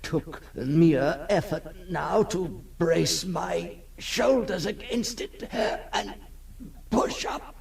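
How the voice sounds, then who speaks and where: A man playing a tormented, awestruck figure emerging from long confinement in a theatrical 1960s radio horror monologue, halting and breathless, repeating his words. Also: high voice, gravelly, a little mellow, exaggerated voice. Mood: straining, exultant. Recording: noisy old 1960s radio recording.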